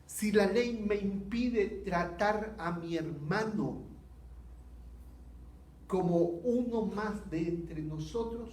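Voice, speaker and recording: A Chilean man preaching a sermon, earnest and unhurried, asking his listeners rhetorical questions. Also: sits high, a little mellow, a little hiss or hum. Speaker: pastor. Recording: echoey hall.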